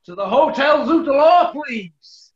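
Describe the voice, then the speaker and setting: An actor playing a wealthy, breezy, imperious composer with a plummy, theatrical manner in a 1940s-style radio comedy. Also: male voice, very high voice, expressive, unhurried, a little hiss or hum. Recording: echoey, dull room recording.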